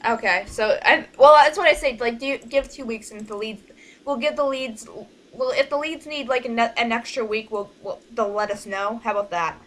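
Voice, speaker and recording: A woman's voice: on the high side, normal speed, a bit bright. A young adult woman hosting a casual online group meeting, rambling and often restarting her sentences. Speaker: host leading an online cast meeting. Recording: noisy home mic with keyboard typing.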